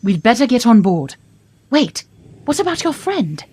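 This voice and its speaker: A woman's voice: a little high, big pitch swings, a little slow, dark tone, slightly rough, heavily acted. An actress playing an anxious, outspoken woman on the run in an audio drama. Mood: urgent.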